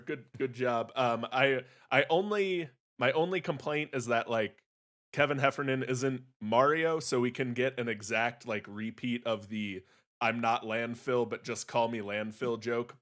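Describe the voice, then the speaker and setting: A man's voice: medium pitch, fairly bright tone. Man casually joking on a podcast. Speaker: podcast co-host. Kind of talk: casual podcast critique of pitches.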